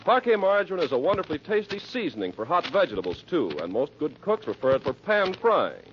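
A man delivers a vintage radio advertisement. His announcer read is polished and emphatic, with very lively pitch. The recording is dull, with clear noise behind him. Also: fast.

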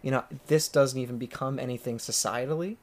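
A man talking casually as a podcast guest, relaxed and hesitant, with lots of fillers and restarts. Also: medium pitch, brisk. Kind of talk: casual conversation.

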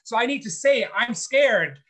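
A man's voice: very high-pitched, expressive, unhurried, a bit bright, faint music behind. A man, a reality show contestant, chatting in an interview in an outspoken, blunt way, swearing freely. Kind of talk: casual interview chat.

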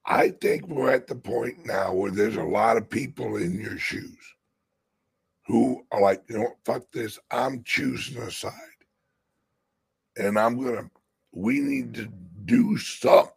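A man with a raspy voice hosting a casual political chat on a crypto show, talking emphatically and restarting often. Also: mid-range voice, measured pace.

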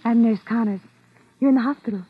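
An actress playing a calm, reassuring hospital nurse in an old-time radio drama. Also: female voice, a little high, quick pace, breathy edge. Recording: dull old radio recording.

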